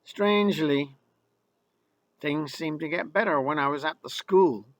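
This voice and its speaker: Slow, wry older man. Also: a little high, no echo. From Ireland. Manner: wry, rueful and emphatic. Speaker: memoir narrator. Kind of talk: first-person storytelling.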